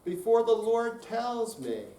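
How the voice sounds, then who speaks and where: An older man reads verse slowly, his fairly high voice moving through lively rises and falls. He is recorded from afar in an echoey room. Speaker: readers theater performer. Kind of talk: slow dramatic reading in live performance. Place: senior center room, mic at a distance. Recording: echoey room, distant, faint noise.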